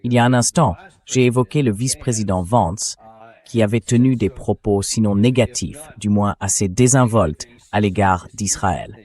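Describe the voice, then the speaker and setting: A man's voice: on the low side, moderate pace, a little mellow. A man's synthetic voice reading a translated voice-over as the host of a political discussion show.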